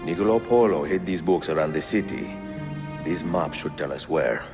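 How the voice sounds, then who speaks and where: An actor playing a suave, confident older Italian adventurer in a game cutscene, with an Italian accent and measured delivery. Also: male voice, rumbling low, animated, long reverb tail. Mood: measured, intent. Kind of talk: dramatic video game cutscene acting. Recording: music behind the voice.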